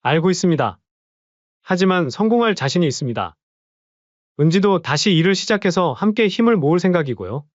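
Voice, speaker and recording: A man plays an eager, confident young husband in a storybook audio drama, with a fairly high voice. The recording is dry and a bit muffled. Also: sing-song, a little fast, no room sound. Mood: earnest. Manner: earnest, confident, polite explanations. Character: earnest young son-in-law pitching his startup.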